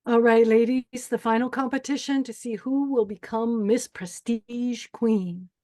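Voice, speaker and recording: A woman's voice: fairly high pitch, fairly even intonation, a little slow, dark tone, close to an everyday voice. A woman playing a cold, commanding older pageant organizer with a menacing, clipped manner in a screenplay table read. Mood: coolly menacing.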